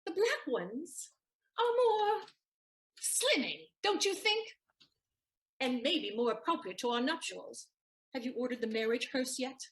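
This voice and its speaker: An actress plays a sharp-witted young maid who teases and mocks. Her voice sits high and leaps around with lively swings in pitch. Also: measured pace, long pauses, full character voice, low-quality recording. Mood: sarcastic. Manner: teasing, sharp-witted, mocking. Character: Susanna, clever teasing young maid, female. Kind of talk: stage audio play acting.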